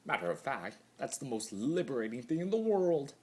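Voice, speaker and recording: A man's voice: mid-range voice, very animated, moderate pace, a little mellow, voice pushed up. A man voicing a mad, immortal chaos spirit in a theatrical dramatic monologue, torn between glee and brooding. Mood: manic glee. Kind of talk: dramatic fan-fiction monologue reading.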